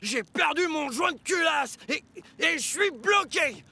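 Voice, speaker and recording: A man voicing an excitable, grumbling garbage locomotive in a children's cartoon, exclaiming theatrically. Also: very high voice, measured pace, creaky, exaggerated voice. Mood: panicked. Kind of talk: children's cartoon dub acting.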